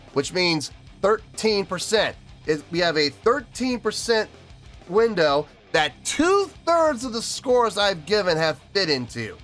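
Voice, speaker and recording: A man's voice: sits high, fairly bright tone. A man hosting music review videos, talking casually and emphatically to his viewers, often repeating phrases for stress. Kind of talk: casual spoken review to camera, addressing viewers.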